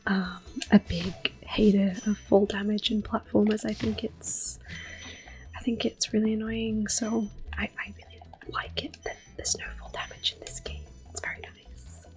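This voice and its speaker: A woman hosting soft-spoken ASMR gameplay commentary, whispering gently as she plays. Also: medium pitch, flat delivery, normal speed, rough recording. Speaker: ASMR gaming host. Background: game music.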